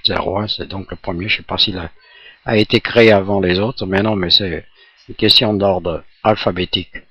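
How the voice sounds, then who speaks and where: A man's voice: low-pitched, steady tone, normal speed, a bit bright, husky, no room sound, muffled recording. A jovial man chatting casually as an amateur radio operator, talking in a rambling, hesitant way.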